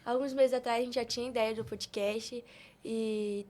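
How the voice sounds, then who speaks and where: A woman's voice: on the high side, steady tone, moderate pace, a little mellow. A woman of eighteen with a Brazilian accent, talking casually as a guest on a podcast. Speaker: podcast guest, youth preacher.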